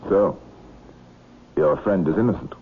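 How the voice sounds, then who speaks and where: An actor playing a terse, skeptical police inspector in a radio drama, direct and questioning. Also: male voice, deep voice, measured pace, slight background noise. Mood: probing. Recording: muffled narrow-band vintage radio recording.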